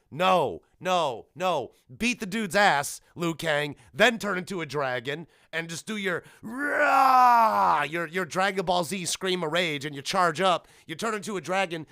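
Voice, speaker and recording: A man hosting a solo podcast, rambling casually and bluntly in an animated way, swearing freely. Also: on the high side, no echo, studio quality. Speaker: podcast host.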